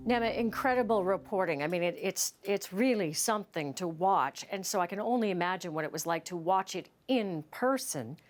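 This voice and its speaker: A woman news host narrating a report and then questioning a guest in a measured, clear delivery. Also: mid-range voice.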